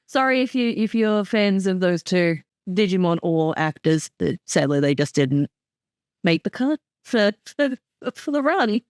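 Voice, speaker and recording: A woman's voice: medium pitch, animated, unhurried, darkish tone, no echo. A woman giving casual episode commentary as a creator, blunt and sweary. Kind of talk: casual director's commentary chat.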